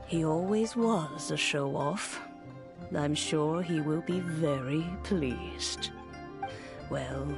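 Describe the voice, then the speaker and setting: A woman voicing a calm, wise storyteller in an anime game, measured and solemn, gently wry. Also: fairly low pitch, exaggerated voice. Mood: wry, fond.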